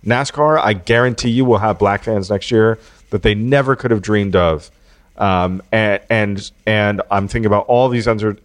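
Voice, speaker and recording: A podcast host with a fairly low voice speaks in an earnest, encouraging way. The recording is dry and close. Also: male voice, no room sound. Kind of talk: casual conversation.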